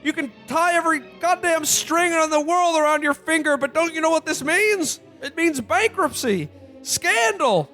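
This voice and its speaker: An earnest everyman hero in a parody radio play. His voice is very high for a man, stammering and indignant. He pleads and scolds in a folksy old-movie manner. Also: lively intonation, normal speed, sharp tone, soft background music, heavily acted. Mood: desperate, angry.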